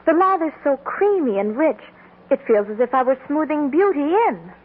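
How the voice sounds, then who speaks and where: A woman's voice: high voice, sing-song, normal speed, slight background noise. A woman playing a perky, beauty-minded young daughter in a 1940s radio advertisement. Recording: tinny 1940s radio broadcast.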